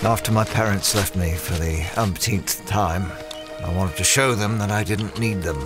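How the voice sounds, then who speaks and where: A man's voice: fairly low pitch, normal speed, music in the background, close to an everyday voice. A man acting as an earnest, guilt-ridden scholar adventurer in an audio drama, with a hesitant, confessional manner. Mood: haunted, confessional.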